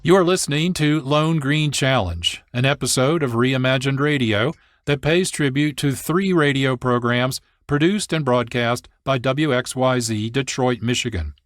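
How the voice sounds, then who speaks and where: A man hosting a radio programme, reading scripted narration in a measured, formal way. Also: medium pitch, no echo, clean studio sound. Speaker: radio show host.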